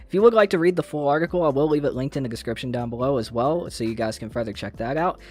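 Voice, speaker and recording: Casual male gaming news host, mid-pitched voice. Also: quick pace. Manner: informal, slangy, mildly profane.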